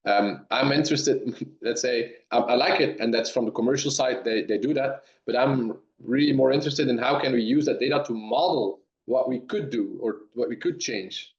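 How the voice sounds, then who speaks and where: A man from Belgium, a dairy science professor, answering webinar questions in halting speech with many restarts over a remote call. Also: medium pitch. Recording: roomy, dull lo-fi sound.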